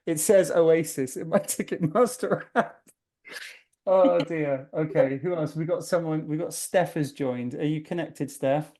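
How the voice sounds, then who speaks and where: A man hosting a podcast, chatting in a friendly, rambling way with quick asides. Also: fairly high pitch, dark tone. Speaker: podcast host. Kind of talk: casual chat. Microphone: video call.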